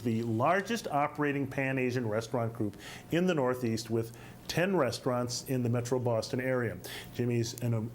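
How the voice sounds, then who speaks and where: A man hosting a studio interview show, introducing guests and asking questions in a measured way with frequent fillers. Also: mid-range voice, slight background noise. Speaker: TV talk show host.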